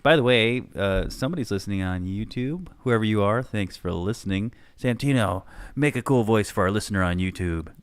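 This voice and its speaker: A man's voice: on the low side, lively intonation, a little fast, smooth. A man hosting a podcast, rambling casually and making self-deprecating jokes. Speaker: podcast host. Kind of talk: casual chat.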